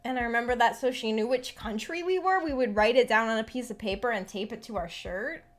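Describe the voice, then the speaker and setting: A woman's voice: a little high, a little fast. A woman streamer chatting casually and telling personal anecdotes in a giggly, self-deprecating way. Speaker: streamer hosting a visual novel book club. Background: background music.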